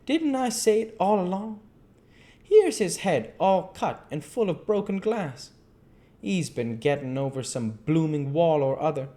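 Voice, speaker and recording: A man performs an old keeper from a classic storybook, his voice pitched well up. He rambles on in homely dialect, opinionated and emphatic, with loose rustic grammar. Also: expressive, a little slow, warm tone. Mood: concerned, exclaiming. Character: talkative old Cockney zookeeper, folksy and opinionated. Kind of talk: audiobook character voice.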